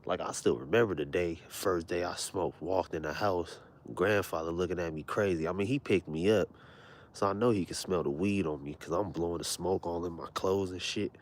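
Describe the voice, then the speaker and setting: A young man with a very deep, low voice talks in an unhurried, rambling way, cursing freely as he tells stories. He keeps his volume down so nobody in the house wakes, but his deep voice still carries. It sounds like a casual late-night vlog recorded at home. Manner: laid-back, rambling, profane, speaking low and near a whisper at times. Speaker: vlogger.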